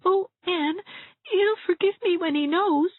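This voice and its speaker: A woman's voice: very high-pitched, normal speed, no echo, voice pushed up. A woman voicing a frightened, self-pitying young bride-to-be in an audiobook, sobbing and stammering as she wails. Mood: tearful, whiny. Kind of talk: audiobook character voice. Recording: thin phone-like narrow-band sound.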